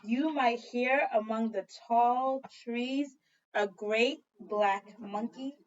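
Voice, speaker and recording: A woman teacher doing an expressive read-aloud for children, telling the story dramatically and prompting listeners with questions. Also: fairly high pitch, slow pace. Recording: dull lo-fi sound, distant from mic.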